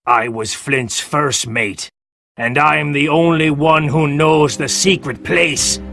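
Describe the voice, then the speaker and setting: A man voicing a sick, fierce old sea captain who drawls, pleads and curses in a gravelly, husky voice. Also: a little high, fairly even intonation, slow, pushed and bright, no echo, faint music behind, voice pushed up, exaggerated voice. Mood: urgent.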